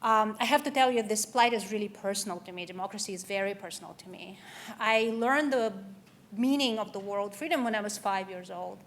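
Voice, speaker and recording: Keynote speaker, woman, from Russia. Earnest, personal, mid-pitched, some ums and restarts. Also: fairly quick, a little hiss or hum. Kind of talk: conference keynote speech. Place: event hall.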